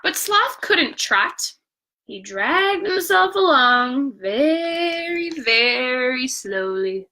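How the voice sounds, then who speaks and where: A woman reads a children's bedtime story in a fairly high, bright voice. She takes her time, stretching key words for emphasis and speaking directly to her young audience. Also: very animated, extremely slow, low-quality recording. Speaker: picture-book reader. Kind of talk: bedtime story read-aloud with asides to viewers.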